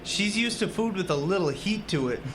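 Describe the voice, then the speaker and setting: A man's voice: high-pitched, lively intonation, normal speed, a bit bright. Man acting an eager husband, noisy recording. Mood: earnest. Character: eager, homecoming Northland husband, earnest and easygoing. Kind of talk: amateur radio drama acting.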